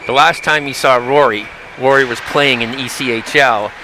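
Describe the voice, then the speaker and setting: A man's voice: medium pitch, moderate pace, background noise. A man giving casual color commentary at a hockey game, often repeating words as he searches for the next thought.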